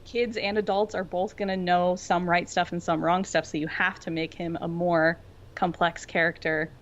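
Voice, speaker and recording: A woman's voice: on the low side, flat delivery, normal speed, dull audio. A woman co-hosting a casual fan discussion, talking in a rambling, tangent-prone way peppered with "like". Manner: rambling, tangential, filler-heavy with frequent "like". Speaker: podcast co-host.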